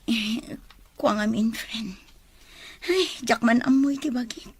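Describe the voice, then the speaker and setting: Husky, high-voiced, long-suffering Filipina girlfriend played by a woman. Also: slow, no room sound, full character voice. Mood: troubled. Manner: apologetic, hesitant. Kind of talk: audio drama dialogue.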